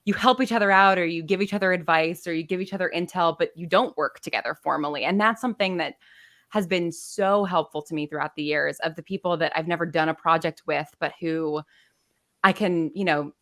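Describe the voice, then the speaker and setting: A woman's voice: on the low side, fairly quick, a bit bright. A woman, a guest audio drama writer, talking casually on a video call, with lots of "like" fillers. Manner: chatty, frequent "like" fillers. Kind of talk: casual conversation.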